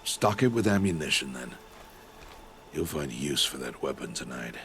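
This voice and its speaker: A stern outcast mentor in a game, his very low voice deep and grave. He speaks in solemn, terse lines over soft music. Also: expressive, moderate pace, gravelly, exaggerated voice. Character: gruff middle-aged male outcast mentor, stern. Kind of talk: video game cutscene acting.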